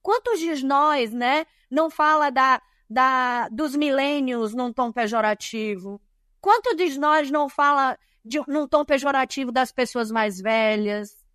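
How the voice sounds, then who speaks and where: High-voiced Bahian woman, dry sound. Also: measured pace, a bit bright, smooth, no room sound. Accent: Bahian Brazilian Portuguese. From Bahia, Brazil. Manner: emphatic and didactic, lists points. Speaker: guest, diversity and compliance specialist. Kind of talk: podcast interview, explanatory.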